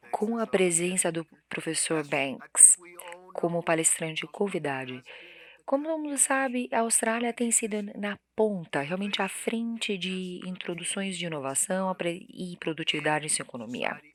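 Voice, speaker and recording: A woman opening a lecture event as moderator, giving formal thanks and rephrasing herself, with tag questions along the way. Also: lowish voice. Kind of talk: formal welcome speech. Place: auditorium stage.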